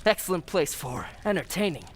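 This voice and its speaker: A woman's voice: fairly low pitch, lively intonation, a little fast, a little hiss or hum, close to an everyday voice. A woman playing a male villain with feigned mild manners and a sadistic streak, in old-time radio melodrama style. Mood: gloating menace. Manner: theatrical, menacing, gloating.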